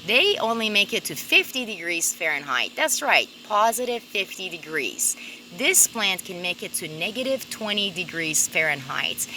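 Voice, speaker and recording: A bright, forward-sounding woman with a mid-pitched voice talks through a gardening vlog. She presents in an upbeat, unhurried way, recorded outdoors with some background noise. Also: rough recording. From Persia. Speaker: plant vlogger and cultivator. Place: outdoors in a sunny garden by a fence.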